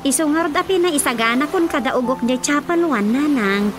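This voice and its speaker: An actress voices a practical young Ilocano daughter in a radio drama, dry and matter-of-fact in a high voice. Music plays clearly underneath. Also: female voice, lively intonation, moderate pace.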